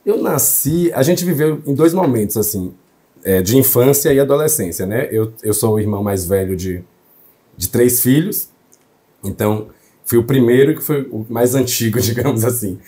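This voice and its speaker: A man's voice: mid-range voice, expressive, moderate pace, mellow. A young man from Salvador, a journalist guest telling his story fluently and reflectively in an interview. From Salvador, Bahia, Brazil. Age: young adult. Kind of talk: interview conversation.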